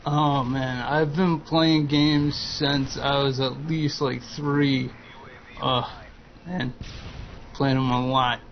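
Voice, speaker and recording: A man in his twenties, a guest chatting slowly and hesitantly with frequent ums. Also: mid-range voice, steady tone. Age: 20s. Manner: slow, hesitant, lots of ums and uhs. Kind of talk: casual chat over gameplay commentary. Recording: dull lo-fi voice-chat audio with faint noise.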